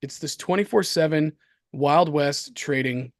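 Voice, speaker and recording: A man with a hoarse voice co-hosts a casual market commentary show, talking in an excitable, slangy, repetitive way. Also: on the high side, a little slow, no echo, studio quality. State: hoarse from coughing. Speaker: crypto show co-host.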